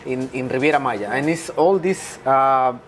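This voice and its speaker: Halting male interviewer over music. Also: mid-range voice. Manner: halting, restarts questions, eager agreement. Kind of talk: casual conversational interview.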